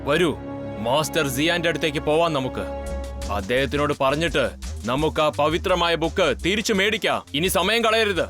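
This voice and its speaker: Guy doing cartoon kung fu master, slightly high voice, urgent, music under it. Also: brisk, close to an everyday voice. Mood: urgent, resolute. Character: resolute kung fu master guarding sacred book. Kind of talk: Malayalam cartoon dub acting.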